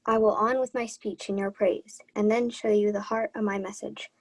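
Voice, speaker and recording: A young woman playing a courtly, ardent young gentleman messenger, declaiming in a radio Shakespeare play. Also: mid-range voice, level tone, normal speed, dry recording, close to an everyday voice. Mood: courtly flattery. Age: young adult. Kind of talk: 1940s radio Shakespeare acting.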